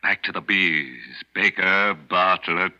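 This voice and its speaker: An actor playing a ghoulish, teasing horror host on a 1940s radio show, with campy, sardonic menace. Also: male voice, deep voice, steady tone, slow pace, bright, forceful tone, full character voice. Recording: dull vintage radio broadcast with music.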